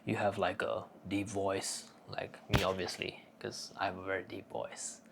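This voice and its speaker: A man's voice: sits low, level tone, moderate pace, creaky, heavy background noise. A young man giving casual self-improvement advice in a cocky, profane, joking manner. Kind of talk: casual crude advice monologue.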